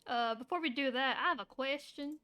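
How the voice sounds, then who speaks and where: Bright, high-voiced woman streaming a game. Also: brisk. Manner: blunt, sweary. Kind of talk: casual game stream commentary, reading dialogue aloud.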